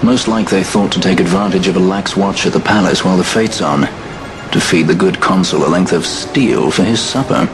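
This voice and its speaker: A man's voice: low voice, normal speed, lightly acted. An actor playing a witty, refined male sky pirate who speaks in a dry, sardonic way, with game music behind him. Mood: wry. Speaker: game character. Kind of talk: cutscene voice acting. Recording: game cutscene with clear score behind.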